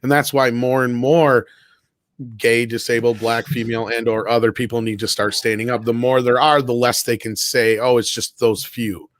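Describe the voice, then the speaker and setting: A man's voice: medium pitch, flat delivery, normal speed, no room sound. A man chats as co-host of an online panel show, his voice recorded very dry and close. He is blunt and opinionated, leaning hard on his words and swearing freely. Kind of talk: casual opinionated panel chat.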